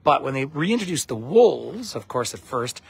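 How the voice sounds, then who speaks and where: Croaky man with a cold, rambling. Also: mid-range voice, very animated, normal speed. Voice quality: husky, croaky. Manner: rambling, chatty, greets viewers by name. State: has a cold. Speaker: tour guide. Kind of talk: live-streamed casual commentary to viewers. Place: outdoors at a geyser basin.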